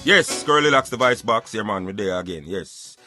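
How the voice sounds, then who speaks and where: A Jamaican man hosting a casual talk show in patois, animated and emphatic as he addresses his listeners. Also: on the low side, normal speed, over music. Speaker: radio show host.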